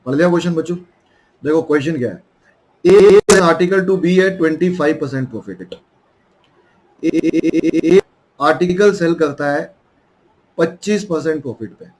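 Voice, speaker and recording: A man's voice: a little high, takes it slowly, pauses often, darkish tone, poor audio. A young man with an Indian accent and a sore throat, teaching a math lesson in a coaxing way and calling his students children.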